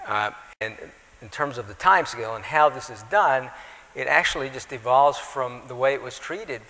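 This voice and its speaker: Bright-voiced man explaining physics in a hall. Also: mid-range voice. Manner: measured technical explanations, checks in with "right?". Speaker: atmospheric physicist answering audience questions. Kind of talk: technical lecture Q&A. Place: lecture hall.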